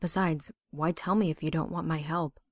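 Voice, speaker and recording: Casting: woman as stubborn young friend, frank, casual, inquisitive. Slightly low pitch, dry close sound. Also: fairly even intonation, normal speed, dry recording. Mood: insistent. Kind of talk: dramatic fan audio drama acting.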